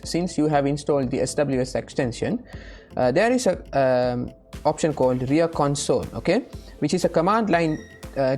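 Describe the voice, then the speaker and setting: Low-pitched woman giving a software tutorial. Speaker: tutorial presenter. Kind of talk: casual step-by-step software tutorial.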